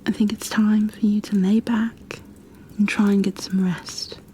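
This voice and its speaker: A woman playing a gentle, protective creature bookkeeper in a soft-spoken ASMR roleplay, hushed, soothing and a little hesitant. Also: mid-range voice, flat delivery, a little slow, a little hiss or hum, close to an everyday voice. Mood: soothing, sad.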